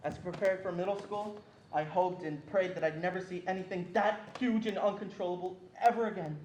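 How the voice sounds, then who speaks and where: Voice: mid-range voice, fairly even intonation, a little fast, a little mellow, lightly acted. A young performer playing an earnest narrator who recalls a frightened childhood, in scripted stage narration. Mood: wistful. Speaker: student reader in a reader's theatre performance. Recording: roomy echo with faint background noise.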